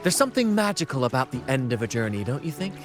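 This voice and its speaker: An actor playing a showy, wry young adventurer in a video game, with a teasing, theatrical way of talking. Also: male voice, medium pitch, big pitch swings, quick pace, music in the background, lightly acted. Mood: eager, musing. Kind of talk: video game character acting.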